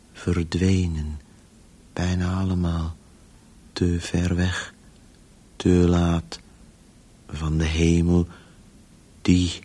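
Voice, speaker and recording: A man acting in an avant-garde radio drama as an obsessive, weary inner voice, urging a story onward in halting, insistent, repeated fragments. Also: sits low, darkish tone, heavily acted. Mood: weary, searching.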